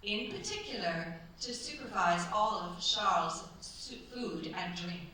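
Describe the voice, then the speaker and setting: An actress as a cold, suspicious older mother-in-law in a period stage radio play. She speaks pointedly in a bright, sharp voice. The room is very echoey and she is far from the mic. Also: mid-range voice, lively intonation. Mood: suspicious, insinuating. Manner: deliberate, pointed, insinuating. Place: cultural centre stage, live performance. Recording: very echoey stage, distant mic, faint noise.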